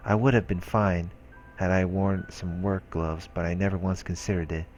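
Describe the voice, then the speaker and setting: A man reading a visual novel aloud as a solemn, hushed narration. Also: sits low, steady tone, normal speed, muffled recording. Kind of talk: scripted narration read aloud. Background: game music.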